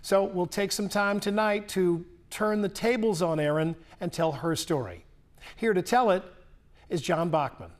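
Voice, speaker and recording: A man's voice: sits high, unhurried. A man anchoring a newscast with a polished, earnest delivery. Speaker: news anchor. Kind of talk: newscast introduction.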